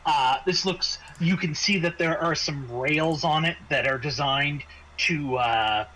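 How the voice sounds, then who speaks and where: A man acting as game master, improvising scene descriptions in halting phrases with frequent repeats. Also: on the high side, sharp tone, poor audio. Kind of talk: improvised narration of a tabletop game. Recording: faint background noise.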